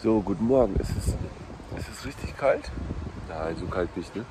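A man's voice: lowish voice, animated, normal speed. A man in his thirties chatting casually through a family travel vlog, with frequent tag questions. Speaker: vlogger. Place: inside a camper van and outdoors by it. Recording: road and vehicle noise.

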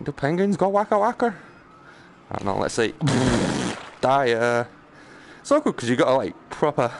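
A man giving casual live game commentary, muttering and exclaiming to himself in a jokey, self-deprecating way. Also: medium pitch. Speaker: gaming streamer. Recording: noticeable background noise, game ambience.

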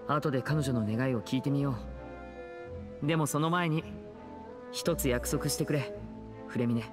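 A man's voice: mid-range voice, moderate pace, music in the background, lightly acted. Man voicing a composed, protective teenage boy magician. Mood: calm, resolute. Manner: smooth, earnest, reassuring. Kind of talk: Japanese anime game voice acting.

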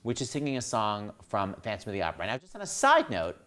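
A man in his forties hosting a casual interview, quick and joking, in a small studio. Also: on the low side, huge pitch swings, a bit bright. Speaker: host.

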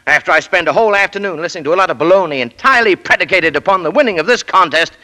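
Blustering, bright-voiced American boss. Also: male voice, sits high, very fast. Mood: indignant. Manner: stammering, blustery, pompous. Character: blustering, grudging businessman boss, pompous and exasperated. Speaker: actor in a radio play. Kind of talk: 1940s radio acting.

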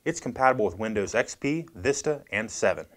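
American man presenter with lively pitch. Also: a little fast. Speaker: product presenter. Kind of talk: scripted product demonstration.

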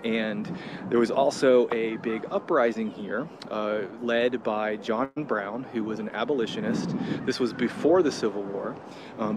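A man in his thirties gives a casual, chatty lesson while walking outdoors by a river, filming on a phone at arm's length. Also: fairly low pitch. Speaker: outdoor educator. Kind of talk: walking vlog-style lesson. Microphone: handheld phone at arm's length. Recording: loud wind and traffic noise outdoors.

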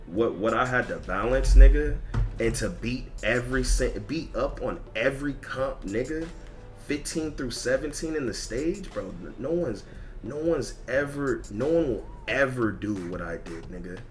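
A man's voice: mid-range voice, animated, moderate pace, soft background music. A man ranting casually as a gaming streamer, blunt, emphatic and repetitive, with lots of slang and swearing. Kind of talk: casual livestream rant over gameplay.

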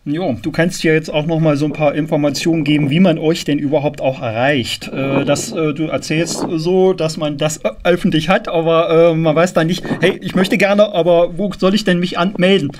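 A man hosting a casual radio interview, asking loose, halting questions that ramble a little. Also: fairly high pitch, fairly even intonation. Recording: clearly noisy, clicking sound.

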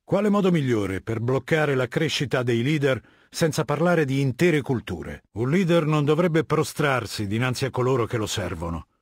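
A husky, imperious warlord. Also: male voice, mid-range voice, big pitch swings, dry recording, clean studio sound. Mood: haughty lecturing. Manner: imperious, measured, lecturing, disdainful. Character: middle-aged male warlord dictator, cold, haughty and commanding. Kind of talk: video game character dialogue.

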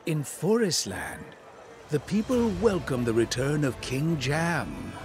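An actor playing a loyal, fussy elderly demon attendant and storyteller in a video game. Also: male voice, on the high side, big pitch swings, slow. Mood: narrating. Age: older. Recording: music behind the voice.

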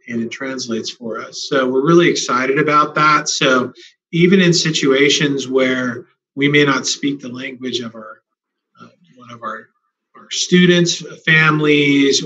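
Mid-pitched man on a muffled video call. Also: pushed and bright. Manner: conversational, "uh" fillers. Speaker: school district administrator. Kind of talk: answering staff questions in a town hall. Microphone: video call webcam. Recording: dull lo-fi video call audio.

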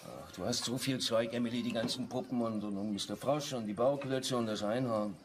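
A man's voice: mid-range voice, fairly quick, noisy background, close to an everyday voice. An actor playing an easygoing but easily exasperated husband and father in a radio drama. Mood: relaxed, teasing. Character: easygoing married father, nervy but practical accomplice. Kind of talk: naturalistic radio drama dialogue.

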